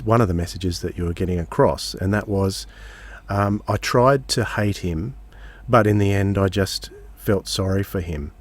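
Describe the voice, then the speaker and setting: Male podcast host, lowish pitch, casual interviewing style, halting and conversational phrasing.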